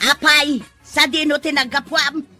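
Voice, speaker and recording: A woman's voice: high-pitched, little pitch movement, unhurried, pushed and bright, soft background music. A woman playing a nagging, suspicious village wife in a comedy radio drama. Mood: scolding.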